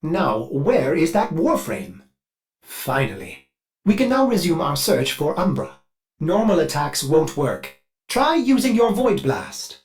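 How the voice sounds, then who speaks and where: A man's voice: on the high side, very animated, slow. An actor playing a fussy, devoted male ship AI in a video game, eager and anxious, with a polite, theatrical manner. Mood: focused, then urgent. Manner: polite, theatrical, refers to self in third person. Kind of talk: video game companion dialogue.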